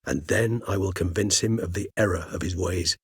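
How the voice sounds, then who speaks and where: An ancient vampire gentleman for a video game, with a low, husky-edged voice in a very dry, close recording. He is courteous and erudite, choosing formal, measured words. A melancholy, philosophical wryness runs through his speech. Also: dry recording. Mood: wry, thoughtful. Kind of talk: video game character dialogue.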